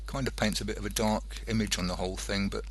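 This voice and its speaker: A man hosting an internet radio show in a casual, rambling monologue, halting and hesitant as he thinks aloud. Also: lowish voice, steady tone.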